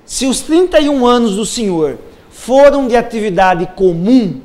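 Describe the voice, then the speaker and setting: A man's voice: very high-pitched, big pitch swings, unhurried, a little mellow. A man working as a social security lawyer, methodically explaining pension rules step by step on a live TV program. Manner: methodical, lays out cases step by step.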